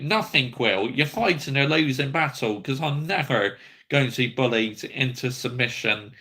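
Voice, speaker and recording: A man's voice: medium pitch, creaky, sharp tone. A man, bluntly ranting and swearing as host of a football fan livestream. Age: 30s.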